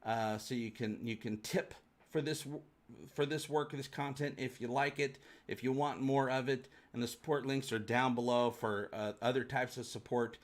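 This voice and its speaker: A man's voice: mid-range voice, steady tone, moderate pace. A man hosting a fan livestream, chatting casually and enthusiastically with his viewers.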